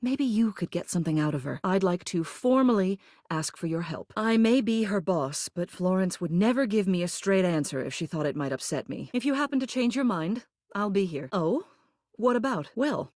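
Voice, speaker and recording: Low-voiced, gracious older lady mayor, acted by a woman. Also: darkish tone, no echo, studio quality, lightly acted. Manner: genteel, theatrical, uses fond endearments. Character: gracious, commanding older lady mayor, a living household object. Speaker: mayor and homeowners' association president. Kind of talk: scripted video game character dialogue.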